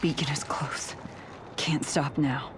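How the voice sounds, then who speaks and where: An actress playing an uneasy but determined detective in a horror game, muttering to herself in a hushed, breathy voice. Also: female voice, fairly even intonation, slow. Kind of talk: horror game dramatic monologue. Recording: loud rumbling ambient noise behind voice.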